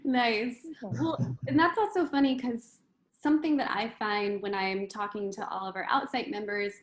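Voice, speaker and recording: A woman hosting and moderating an online panel, recorded with a roomy, echoing sound. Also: mid-range voice. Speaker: panel host, community manager.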